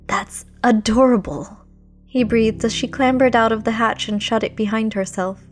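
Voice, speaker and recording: A woman narrates a story in a lightly high voice. Her reading is expressive and dramatic. Soft music plays behind her. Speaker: fan fiction narrator.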